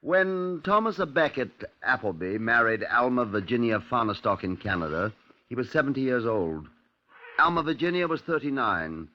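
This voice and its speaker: A dull old radio recording of a man playing a British chief inspector. His mid-pitched voice is measured and wry, telling the story in 1950s radio drama style. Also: sing-song, lightly acted.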